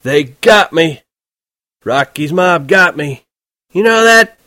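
A man voicing a very drunk teenage boy shouting and pleading into a phone. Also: a little high, expressive, takes it slowly, dry recording, clean studio sound, voice pushed up, exaggerated voice. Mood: drunk yelling.

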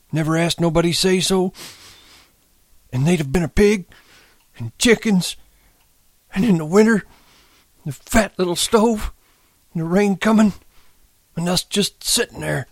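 A man's voice: fairly high pitch, darkish tone, slightly rough, dry recording, voice pushed up. A man voicing a grieving, bitter old ranch hand with rustic American speech, in an audiobook reading.

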